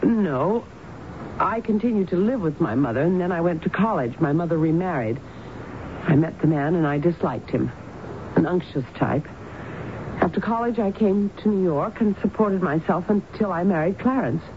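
A woman's voice: lowish voice, lively intonation, moderate pace, close to an everyday voice. An actress playing a bewildered, guarded married woman patient in 1970s radio drama style, hesitant, puzzled and questioning. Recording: dull noisy old radio broadcast recording.

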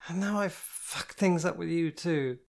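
Woman narrator doing drunk young woman, crying, slurred, slow, higher voice, a little gravelly. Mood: tearful.

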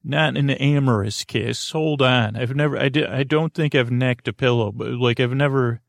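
A man with a creaky voice hosting a podcast, giving a rambling bedtime monologue in a lulling, soothing, hesitant way that often trails off mid-thought. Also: mid-range voice, moderate pace, no echo. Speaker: podcast host.

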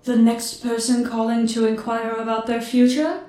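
An actress playing a theatrical psychic on a radio telethon, speaking in a mystical, drawn-out, dramatic way. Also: female voice, fairly high pitch, fairly even intonation, mellow, echoey room, close to an everyday voice. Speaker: fortune teller. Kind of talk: audio drama acting.

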